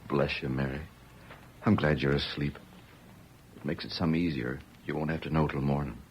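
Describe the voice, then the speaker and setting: Deep-voiced, fretful pioneer husband. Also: male voice, steady tone, moderate pace, lo-fi sound, soft background music. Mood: sorrowful, tender. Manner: plain folksy rural speech, fretful. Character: despairing rustic pioneer husband, humble and anxious. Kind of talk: old-time radio western acting. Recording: hissy vintage radio recording.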